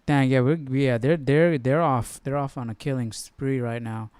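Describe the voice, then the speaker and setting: A man hosting a Bible study stream in casual, slangy chat, halting as he thinks aloud. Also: mid-range voice, steady tone, darkish tone, clear, resonant tone, dry recording. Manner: informal, slangy, halting as he works things out.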